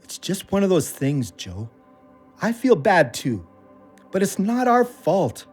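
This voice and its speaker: An actor playing a guarded, weary friend in an audio drama, consoling yet short-tempered and terse. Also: male voice, high voice, very animated, slow, darkish tone, slightly rough, faint music behind, full character voice. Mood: exasperated.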